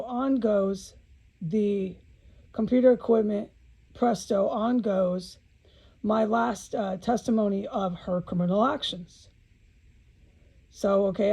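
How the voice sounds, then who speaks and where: A woman's voice: medium pitch, vocal fry, dark tone, breathy edge, rough recording. A woman speaking in an informal video monologue, blunt and agitated, swearing often and repeating phrases for emphasis, with an aggrieved manner.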